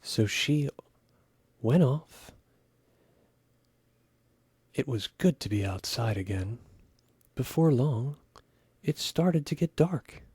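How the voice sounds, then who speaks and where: A man reading a children's story aloud with expressive narration. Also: mid-range voice, slow pace, long pauses, breathy edge, no room sound.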